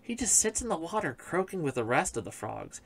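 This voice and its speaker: A man voicing a spoiled young princess in a fairy tale read aloud, pitching up high and eager. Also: very animated, brisk, creaky, a bit bright, exaggerated voice. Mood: scornful.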